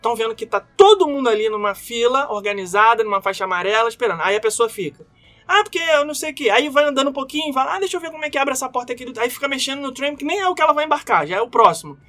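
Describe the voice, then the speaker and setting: A man's voice: very high voice, lively intonation, very fast, pushed and bright, faint music behind. A Brazilian man with a Brazilian Portuguese accent, telling jokey stories in slangy casual chat.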